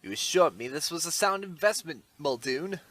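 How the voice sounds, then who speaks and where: A man's voice: on the high side, expressive, normal speed, fairly bright tone, no room sound. A man playing a blustering, scornful rich financier in a comic dub, pompous in manner. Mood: indignant. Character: blustering wealthy male financier, scornful father.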